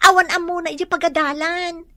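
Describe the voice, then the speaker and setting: An actress with an Ilocano accent playing an expressive young student woman in a radio drama. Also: extremely high pitch, brisk, dry recording, studio quality. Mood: catty, vain.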